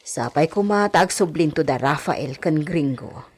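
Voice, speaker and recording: A woman playing a deferential, gossipy servant confidante with a husky voice in a radio drama. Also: low voice, lively intonation, measured pace, darkish tone, exaggerated voice. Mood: curious.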